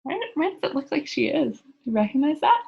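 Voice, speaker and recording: A woman's voice: high voice, sing-song, brisk, creaky, highs cut off. A woman reading a children's book aloud as a storyteller, talking to young listeners as she goes. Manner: engaging listeners with simple questions.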